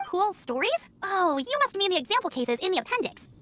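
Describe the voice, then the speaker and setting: A woman's voice: extremely high pitch, sing-song, a little fast, fairly bright tone, exaggerated voice. A woman playing a cheerful, eager teenage girl scout in a video game, earnest and informal. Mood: upbeat, excited.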